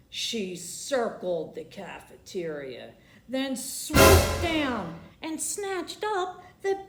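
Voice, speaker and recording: A woman's voice: very high voice, a little hiss or hum. A woman reading a children's storybook aloud as a library storytime host, theatrical and often putting questions to young listeners. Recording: echoey room.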